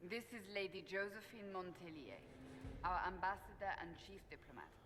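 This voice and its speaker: An actress playing a stern, resolute holy warrior in a fantasy game, firm, formal and matter-of-fact. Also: female voice, fairly low pitch, animated, normal speed, in a large hall, noisy background, lightly acted. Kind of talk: dramatic fantasy game dialogue.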